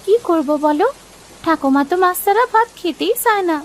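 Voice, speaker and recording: A woman's voice: very high voice, animated, moderate pace, a little hiss or hum. A woman voicing a caring, timid young village girl in a cartoon story, childlike and earnest. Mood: earnest, plaintive. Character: caring, timid young village sisters, poor orphan girls. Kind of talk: Bengali cartoon story acting.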